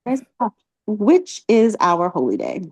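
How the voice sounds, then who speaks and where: A woman leading a religious class, asking catechism questions and encouraging her students, often pausing with ums. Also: medium pitch, huge pitch swings, slightly rough, no room sound. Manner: encouraging, halting with many ums.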